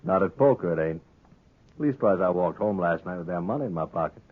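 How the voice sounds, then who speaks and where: Sly saloon owner: low husky voice, brash and talkative, Western American accent, vintage radio western. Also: male voice, expressive, fairly quick, phone-quality sound. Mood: smug, easygoing. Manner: cocky, needling, talkative. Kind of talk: 1950s radio western acting. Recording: thin old radio broadcast recording.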